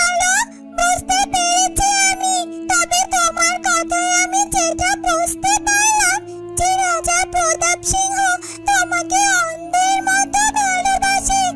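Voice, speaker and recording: A little fairy-tale cartoon bird speaks in an extremely high, small voice. It is gentle and loyal, offering earnest reassurance. Music plays clearly under the words. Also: female voice. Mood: earnest advising. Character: yellow talking bird, gentle loyal female adviser.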